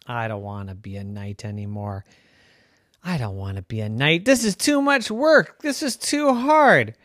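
A bedtime storyteller voicing Wanda, a clumsy, cheerful would-be girl knight who squeals in high dismay. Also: sing-song, slow pace, a bit bright, no echo, studio quality. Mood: excitable.

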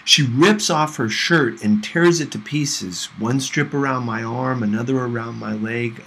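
A man reading a novel aloud in an expressive storytelling style. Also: medium pitch, slight background noise, poor audio. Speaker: audiobook reader.

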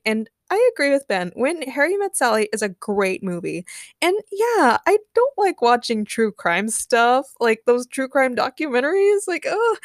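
A young woman hosts a podcast on her own with a fairly high voice. Her delivery is informal and gushing, with a giggly streak. The sound is dry, with very little room in it. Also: no echo. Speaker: podcast host. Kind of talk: casual solo podcast chat and fan fiction narration.

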